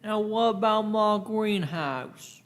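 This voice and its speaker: A man's voice: sits high, takes it slowly, a bit bright. A man playing a dithering, aggrieved complainant in a radio play, hesitant, plaintive and repetitive. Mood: persistent. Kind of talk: live radio-play acting.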